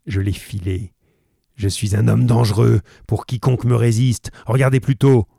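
A man voicing a furious, menacing old man in a read-aloud story, loud and threatening. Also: lowish voice, extremely slow, no echo, studio quality.

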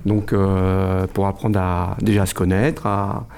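A man's voice: deep voice, little pitch movement, a little slow, a little mellow, a little hiss or hum. A middle-aged man from France, a kung fu club president, speaking hesitantly in a casual radio interview, with many fillers and restarts.

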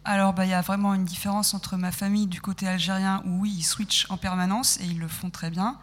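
A woman in her thirties talks through her ideas as a radio guest, pausing and correcting herself often. Her voice sits at a middle pitch. The room adds a long, echoey tail. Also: fairly even intonation. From France. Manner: thoughtful, halting, self-correcting. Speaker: guest, sound podcast maker. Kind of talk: radio interview conversation.

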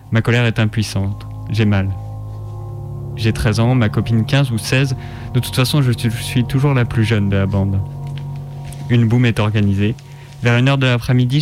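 A man co-hosting a radio show, introducing and reading a story aloud. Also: medium pitch. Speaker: radio co-host presenting a fiction segment. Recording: music behind the voice.

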